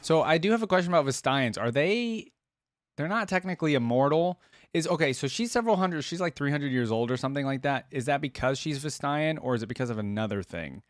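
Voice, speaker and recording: A man's voice: fairly high pitch, animated, fast, dry recording. Dry, close-sounding man reacting casually. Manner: musing aloud, short interjections. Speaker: reaction video host. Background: faint music.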